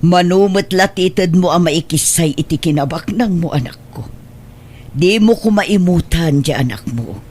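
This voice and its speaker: A woman with an Ilocano accent playing a caring, reproachful elderly mother in a radio drama, pleading and admonishing in a husky voice. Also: on the low side, lively intonation, measured pace, darkish tone, slight background noise.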